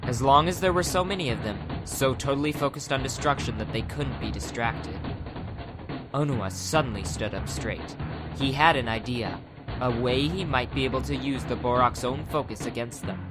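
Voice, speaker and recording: A man's voice: mid-range voice, normal speed. A man narrating a scripted fantasy adventure audiobook in a dramatic storytelling style. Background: music.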